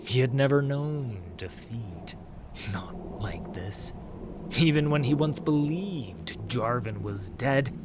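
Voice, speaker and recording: A man narrating a fantasy audio drama in a solemn, measured, dramatic storytelling manner, letting phrase endings drop to a hush. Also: medium pitch, background noise.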